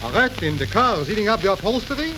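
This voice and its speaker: Casting: excitable middle-aged haggler, high swooping voice, used-car dealer in old crackly radio comedy. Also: male voice, fast. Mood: panicked. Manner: haggling, excitable, inverted phrasing. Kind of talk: 1930s radio comedy acting. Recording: crackly, hissy 1930s radio recording.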